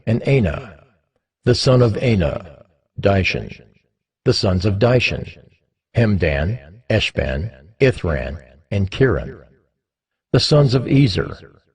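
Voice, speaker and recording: A man reading scripture as scripted, dramatized narration, in a solemn, measured manner with deliberate pauses. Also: fairly low pitch, a little mellow, husky. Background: background music.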